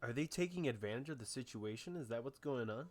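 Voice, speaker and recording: A man's voice: medium pitch, animated, fast, slight background noise. A man playing a video game and reacting casually, off the cuff, as he goes. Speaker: game player commentating.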